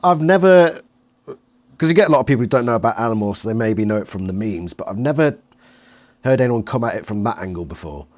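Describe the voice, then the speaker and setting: A man's voice: on the low side, expressive, quick pace, a little mellow. A man with a British accent, interviewing a guest in a casual, chatty style. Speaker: interviewer, channel host.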